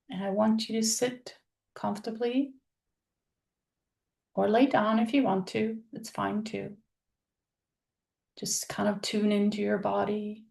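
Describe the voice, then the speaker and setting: A woman leading a guided meditation, speaking in a soothing, unhurried manner with pauses between phrases. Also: medium pitch, vocal fry, warm tone. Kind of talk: guided meditation, soft spoken visualization.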